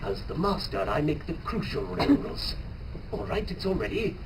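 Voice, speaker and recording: A man's voice: high voice, very animated, normal speed, creaky, pitched above the performer's own voice, exaggerated voice. A man playing a sinister, formal game master villain in a theatrical, menacing live manga reading. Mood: smug. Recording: noisy live convention recording, far from mic.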